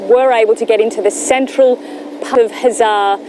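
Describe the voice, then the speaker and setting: A woman reporting live as a news correspondent. Her voice sits on the high side, and she explains in a measured way. There is clear background noise of vehicles on location. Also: steady tone, darkish tone, rough recording. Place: on location in Gaza.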